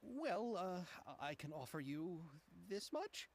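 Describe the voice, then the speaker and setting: A woman's voice: on the low side, very animated, takes it slowly, full character voice. A woman playing an eager, deferential film crew leader in a video game, polite, apologetic and a little hesitant. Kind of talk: acted video game dialogue.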